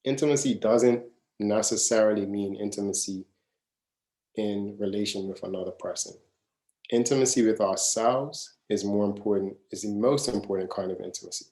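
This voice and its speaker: A man's voice: lowish voice, moderate pace, dark tone. A man in his thirties giving a live wellness masterclass, talking casually and earnestly and repeating phrases for stress. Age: 30s. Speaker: wellness coach. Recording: echoey room.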